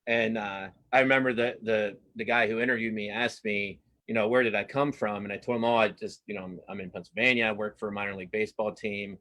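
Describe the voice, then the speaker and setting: A man with a fairly low voice chats as a guest in a casual interview. His delivery is informal and self-deprecating, like someone loosely telling his own story. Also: steady tone, fast, few pauses, sharp tone, low-quality recording. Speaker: guest cartoonist.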